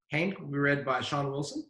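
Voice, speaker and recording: A man's voice: fairly high pitch, sing-song, moderate pace, fairly bright tone. A man hosting as festival director, casually introducing people on a web call. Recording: roomy, echoey web call.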